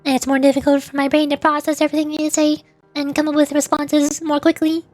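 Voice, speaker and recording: High-voiced woman streamer chatting softly. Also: level tone, quick pace, faint music behind. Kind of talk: casual chat with stream viewers.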